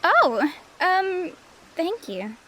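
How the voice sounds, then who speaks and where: A woman's voice: very high-pitched, very animated, extremely slow, sharp tone, a little hiss or hum, heavily acted, poor audio. A woman playing a friendly young cave lioness girl in an audio drama, caring and chatty and a little awkward. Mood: flustered. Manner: caring, chatty, flustered when self-conscious. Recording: background music under the voice.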